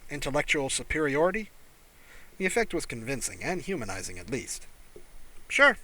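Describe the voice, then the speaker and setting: Male audiobook narrator, bright forceful tone, animated pitch movement, expressive scripted reading. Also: a little fast, poor audio.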